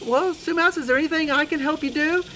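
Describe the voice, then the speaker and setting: A man's voice: extremely high pitch, quick pace, bright, forceful tone, slight background noise, voice pushed up. A man voicing an earnest, helpful, slightly anxious male mouse in a children's story. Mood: eager, helpful. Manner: eager, polite, hesitant.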